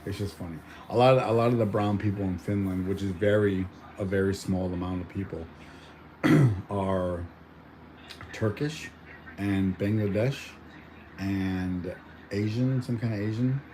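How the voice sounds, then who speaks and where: A man's voice: fairly low pitch, a little slow, darkish tone, a little hiss or hum, rough recording. A young man born in Mexico chatting casually at home, rambling with lots of fillers and restarts. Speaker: vlogger. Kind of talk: casual chat.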